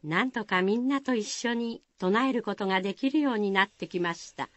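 A woman's voice: medium pitch, brisk, dry recording. A woman reading scripted folktale narration for a radio drama. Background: music.

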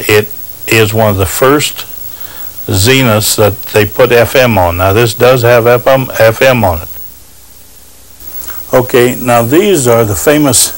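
A man's voice: on the low side, low-quality recording. A man in his sixties casually explaining things as a collector and dealer. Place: living room, indoors.

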